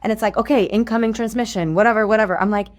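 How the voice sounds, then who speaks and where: Mid-pitched woman, casual interview guest. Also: steady tone, rapid-fire. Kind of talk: casual storytelling chat.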